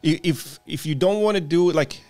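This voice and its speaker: A man's voice: fairly high pitch, moderate pace, a little mellow. A man with a Latvian accent hosting a morning show, chatting casually in English with many fillers and frequent laughs. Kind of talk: casual chat.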